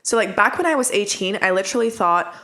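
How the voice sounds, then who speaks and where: A woman's voice: medium pitch, fast. Mid-twenties woman co-host, frank and chatty, tells stories with quoted thoughts. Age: mid-20s. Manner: candid, animated storytelling with quoted inner thoughts. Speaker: podcast co-host. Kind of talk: casual advice chat.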